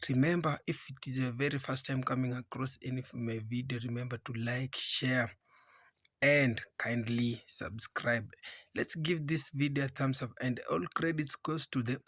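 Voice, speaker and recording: A man's voice: medium pitch, steady tone, moderate pace, fairly bright tone, no room sound. A man hosting a reaction channel, opening the video and calling on viewers as guys. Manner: repetitive, addresses viewers as guys. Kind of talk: scripted video intro.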